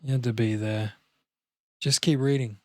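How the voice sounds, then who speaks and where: A young male slacker, crushed by a breakup, wisecracks through his misery as a man acts him out in a table read. He is sardonic and downcast, in a mid-pitched voice on a dry recording. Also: fairly even intonation, normal speed, no echo, close to an everyday voice. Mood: glum, sardonic. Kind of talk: table read of a film comedy scene played sad.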